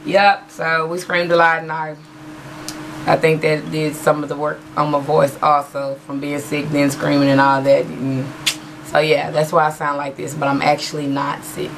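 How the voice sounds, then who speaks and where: A hoarse woman, chatting casually and rambling with many fillers as a beauty vlogger. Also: sits low, level tone, normal speed, background noise. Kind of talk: casual haul video chat. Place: small room at home, patterned backdrop. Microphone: webcam in front of her.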